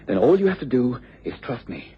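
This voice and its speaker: An actor playing a smooth-talking, scheming scientist's assistant in a radio mystery, speaking in a polite, formal way. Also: male voice, mid-range voice, very animated, a little fast. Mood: conspiratorial. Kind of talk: radio mystery drama acting. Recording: muffled radio recording with background noise.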